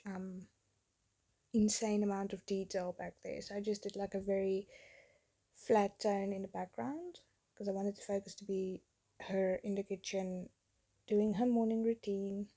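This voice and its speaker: Gravelly, mellow-voiced woman narrating her painting. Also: medium pitch, steady tone, normal speed. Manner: rambling, chatty, self-deprecating. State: losing her voice, getting sick. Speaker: artist narrating a painting video. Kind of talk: casual voice-over narration. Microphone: phone.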